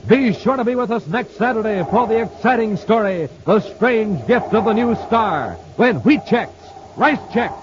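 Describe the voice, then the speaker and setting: A male radio announcer reading ads and promos in a booming, salesy, emphatic 1950s style. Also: lively intonation, moderate pace, hardly any pauses. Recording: muffled old radio transcription with faint hiss.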